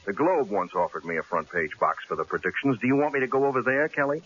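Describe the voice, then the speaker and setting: An actor playing a stubborn, self-assured newspaper columnist in an old-time radio drama, wry and punchy. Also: male voice, medium pitch, fast talker, a bit bright, lightly acted. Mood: stubborn, insistent. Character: cocky, stubborn male newspaper columnist, breezy and unflappable. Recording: hissy, dull old radio broadcast recording.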